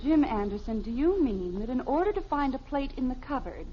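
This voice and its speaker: Exasperated, high-voiced radio housewife. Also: female voice, expressive, brisk. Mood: exasperated, incredulous. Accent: American. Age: middle-aged. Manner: dry, incredulous, pointed questioning. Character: exasperated, dryly sarcastic middle-aged wife and mother. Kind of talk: 1950s radio sitcom acting. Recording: noisy, muffled early 1950s radio recording.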